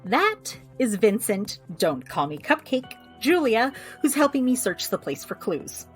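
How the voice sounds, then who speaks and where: A woman's voice: fairly high pitch, sing-song, a little slow, faint music behind. An actress playing a chatty, wry young American woman who runs a magical family clinic, in an audio drama. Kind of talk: audio drama, recording a personal log.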